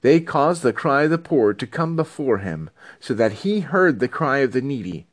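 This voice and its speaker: A man's voice: medium pitch, big pitch swings, normal speed. A man reading scripture aloud in a dramatic, emphatic, oratorical style. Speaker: Bible reader.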